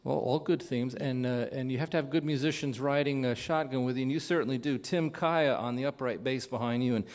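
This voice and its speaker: A man's voice: medium pitch, fairly quick, few pauses, a little mellow. A man hosting a radio show, interviewing musicians informally and feeling his way through questions with repeated words. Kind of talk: informal live stage interview.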